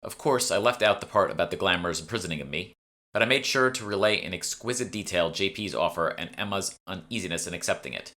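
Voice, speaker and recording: A man's voice: low voice, fast, sharp tone. A man reading fantasy fiction as a scripted audiobook narration into a close microphone. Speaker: author reading own audiobook. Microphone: close USB desktop microphone.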